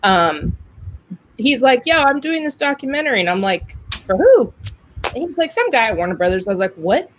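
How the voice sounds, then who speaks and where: A woman's voice: a little high, very animated, normal speed. A woman hosting a podcast in casual conversation, talkative and telling stories with acted-out quotes. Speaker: podcast host.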